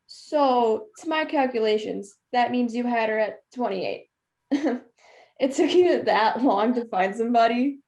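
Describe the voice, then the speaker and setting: This is a young woman playing a spoiled, snide college girl in a student play. Her voice sits very high. She talks with sarcasm and open insolence. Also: a little slow, darkish tone. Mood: taunting. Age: young adult. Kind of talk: stage acting in a student one-act play.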